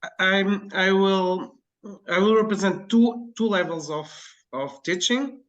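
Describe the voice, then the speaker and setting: A man from Portugal with a Portuguese accent, a teacher introducing himself on a video call, halting and searching for words. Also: high voice, lo-fi sound.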